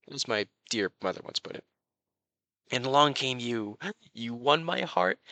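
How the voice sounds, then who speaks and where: Obsessive fantasy prince , played by a male actor: haughty, coaxing audio roleplay, sharp bright tone, close dry sound. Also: on the high side, creaky, no room sound, exaggerated voice. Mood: tender, obsessive. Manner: pompous, coaxing, theatrical. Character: possessive, haughty cat-shifter prince, sweetly menacing. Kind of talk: dramatic roleplay addressed to the listener.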